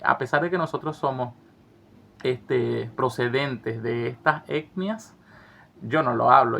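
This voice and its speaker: Man, co-host, gravelly and monotone, earnest, dull lo-fi recording. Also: mid-range voice. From Venezuela. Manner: earnest, reflective, some hesitant restarts. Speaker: co-host of travel channel. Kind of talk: casual conversational commentary.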